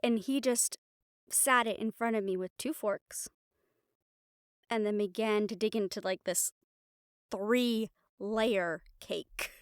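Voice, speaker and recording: A woman playing a gentle, caring young banshee in an intimate audio roleplay, speaking softly and soothingly, with some phrases trailing into a whisper. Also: mid-range voice, slow, clean tone, no room sound, clean studio sound, lightly acted. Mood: fond, reminiscing. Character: gentle young female banshee from Puerto Rico, caring crush. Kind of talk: intimate audio roleplay acting, one-sided conversation.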